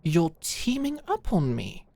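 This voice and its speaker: A woman's voice: lowish voice, wildly swooping pitch, takes it slowly, mellow, no room sound, full character voice. Proud lady knight played by a woman, dry close-up. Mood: hurt, submissive. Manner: sardonic, theatrical. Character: proud, combative lady knight, devoted guard-dog lover. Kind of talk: dramatic ASMR roleplay acting.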